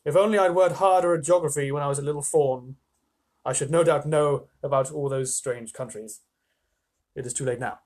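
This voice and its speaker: A man voicing an excitable, courteous fawn with a flustered, effusive, old-fashioned politeness in a storybook reading. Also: on the high side, fairly quick, natural voice. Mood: melancholy.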